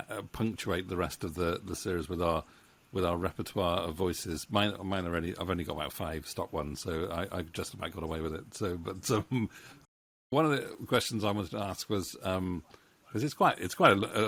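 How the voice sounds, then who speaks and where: A middle-aged man hosting a casual interview in a halting, hesitant way, close on a studio mic. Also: sits low, fairly bright tone. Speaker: interview host, voice actor. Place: small home room with bookshelves. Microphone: studio mic on boom arm, close.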